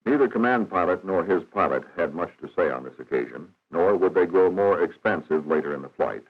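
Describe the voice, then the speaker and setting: Male documentary narrator, very deep and measured, 1960s educational style, narrow lo-fi vintage film sound. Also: animated, slightly rough. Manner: formal, measured, matter-of-fact. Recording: dull, band-limited 1960s film soundtrack.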